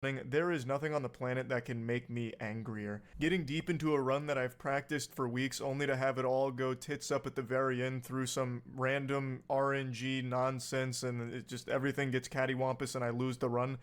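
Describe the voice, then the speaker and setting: A man narrating a scripted commentary video in a sarcastic, energetic storytelling manner. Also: medium pitch, moderate pace, few pauses, clean tone.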